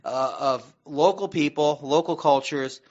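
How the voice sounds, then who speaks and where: An American man co-hosting a radio show in casual discussion, rambling haltingly and often restarting mid-sentence. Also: fairly high pitch, darkish tone, dull audio.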